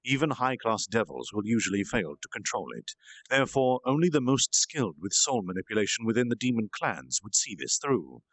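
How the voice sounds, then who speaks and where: A man's voice: lowish voice, very animated, a little fast, fairly bright tone, dry recording, low-quality recording. A man narrating a fantasy story aloud as an informal storytime reader. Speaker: storytime streamer reading aloud. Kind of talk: animated amateur story narration. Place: at home in a reading chair.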